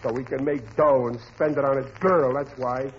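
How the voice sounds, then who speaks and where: A man's voice: medium pitch, steady tone, normal speed, lightly acted. An actor playing a blustering, dim working-class father in a 1940s radio comedy, with slangy, exasperated speech. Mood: stubborn. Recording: muffled, hissy old radio recording.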